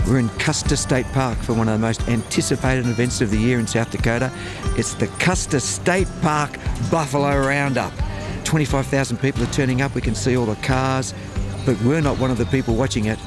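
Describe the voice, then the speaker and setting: Excitable male podcast host. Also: mid-range voice. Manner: excitable, upbeat. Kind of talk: enthusiastic host talk.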